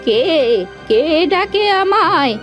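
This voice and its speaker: A woman's voice: very high voice, very animated, takes it slowly, muffled recording, soft background music, heavily acted. A woman voicing a querulous old village healer in a cartoon, drawing out her words. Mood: irritated. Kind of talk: cartoon character acting.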